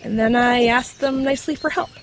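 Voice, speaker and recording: A woman's voice: fairly high pitch, fairly quick, a little hiss or hum, natural voice. A woman voicing a knowledgeable magical creature in dog form, explaining things matter-of-factly with dry wit in an audio drama. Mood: reminiscing. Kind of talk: scripted audio drama acting.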